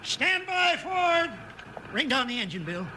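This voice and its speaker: A man's voice: very high-pitched, sing-song, unhurried, full character voice. An American man playing a hearty, fussing riverboat captain in a 1950s radio western. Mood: alarmed. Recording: noisy old radio transcription.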